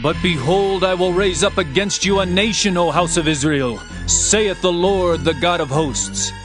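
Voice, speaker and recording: A man reads scripture as a dramatized narration. His voice sits a little high for a man, and his delivery is solemn and declamatory. Music plays clearly behind him. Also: measured pace. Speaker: Bible narrator. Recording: music under the voice. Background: background music.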